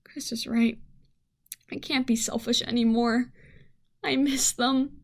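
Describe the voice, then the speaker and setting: An actress plays a woman scientist and mother trapped in a radio-play bunker. Her voice sits very high for a woman. She talks in an emotional, halting, everyday way, as someone worn by worry. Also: fairly even intonation, gravelly. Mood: sad, torn. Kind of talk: amateur radio play acting.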